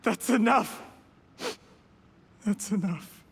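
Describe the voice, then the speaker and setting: A man's voice: sits high, extremely slow, vocal fry, fairly bright tone, exaggerated voice. A man playing an ambitious, desperate young schemer in a game cutscene, formal and self-justifying. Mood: distraught. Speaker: game character voice actor. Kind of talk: dramatic game cutscene acting. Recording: long reverb with faint noise.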